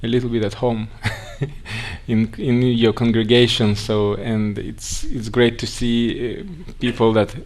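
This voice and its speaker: A man with a Russian accent, raised in Siberia, giving a conference talk in a halting way with frequent restarts. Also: mid-range voice, level tone, a little mellow. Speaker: guest speaker.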